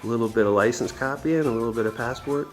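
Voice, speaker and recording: A man's voice: mid-range voice, quick pace, soft background music. A man leading a motorcycle tour, narrating his travel video in an easygoing, joking way, recorded outdoors. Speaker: tour leader filming the trip.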